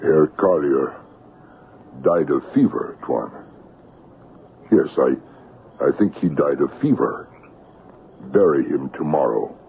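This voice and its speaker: An actor playing a composed, deferential Congolese servant in an old radio drama, speaking in a measured, grave, respectful way. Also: male voice, very deep voice, animated, gravelly, exaggerated voice. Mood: solemn, conspiratorial. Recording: band-limited tinny vintage radio broadcast, faint noise.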